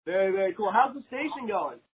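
A man's voice: high-pitched, expressive, quick pace, slightly rough. A young man asking questions in a casual sports chat as a podcast interviewer. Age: young adult. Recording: tinny, narrow phone-like sound.

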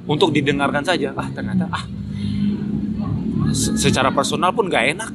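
A man's voice: a little high, moderate pace, vocal fry, loud music behind the voice. A man in a casual, opinionated discussion, speaking emphatically and critically and repeating phrases to drive points home. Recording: noticeable background noise.

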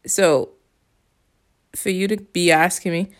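A woman's voice: lowish voice, steady tone, slow pace. A woman from the Bahamas with a Bahamian accent, rambling in a casual monologue, blunt and profane, with an exasperated edge. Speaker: vlogger.

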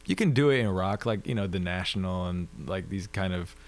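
Low-voiced man, easygoing talk. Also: fairly quick. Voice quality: low-register voice. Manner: relaxed, thoughtful, frequent "like" fillers. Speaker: musician guest. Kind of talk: casual interview chat.

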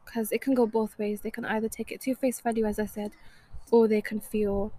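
A young woman hosting a podcast, soft-spoken and hesitant, with frequent ums. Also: medium pitch, level tone, brisk, no room sound. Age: young adult. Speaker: podcast host. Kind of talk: casual chat.

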